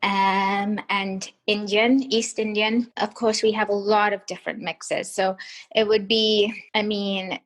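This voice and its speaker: A woman with a light Trinidadian accent answers interview questions at a middling pitch. She speaks thoughtfully, pausing to find her words. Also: little pitch movement, rough recording. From Trinidad and Tobago. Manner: thoughtful, hesitant, with fillers. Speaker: podcast guest.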